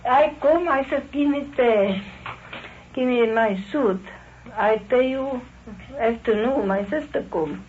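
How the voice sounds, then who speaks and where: High-pitched elderly immigrant woman, old recording. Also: slow. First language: not English. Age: 70s. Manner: rambling, broken English. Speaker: member of the public at a police station. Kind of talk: candid conversation with police. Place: police station room. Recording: noisy, dull 1950s field radio recording.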